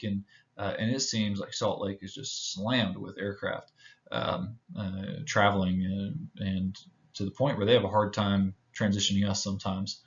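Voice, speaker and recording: An American man from Houston giving an informal slide presentation, speaking hesitantly with many filled pauses. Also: fairly low pitch, dull audio. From Houston, United States. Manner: halting, hesitant delivery with frequent filled pauses. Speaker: presenter, pilot.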